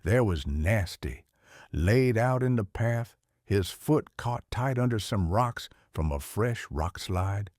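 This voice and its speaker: A man with a Southern US accent reading a children's storybook aloud in a folksy, expressive way. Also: on the low side, slow pace, no echo, clean studio sound. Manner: folksy, animated.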